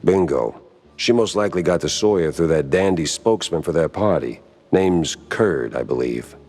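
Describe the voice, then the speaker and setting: A man's voice: extremely low pitch, lively intonation, normal speed, darkish tone. A man voicing a wry, world-weary mouse private detective in a noir video game, with a hard-boiled delivery. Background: music.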